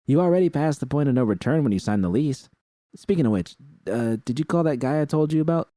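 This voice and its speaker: Man voicing V, a brash streetwise young woman, pitched up. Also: lively intonation, quick pace, dark tone, no room sound, lightly acted. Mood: pushy. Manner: blunt, slangy, nagging. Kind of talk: character dialogue in audio fiction.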